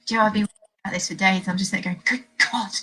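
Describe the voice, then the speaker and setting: A woman's voice: medium pitch, fairly even intonation, quick pace, bright, forceful tone, slightly rough. A woman hosting an informal educational interview, chatty, putting things in plain terms and asking questions. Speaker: host.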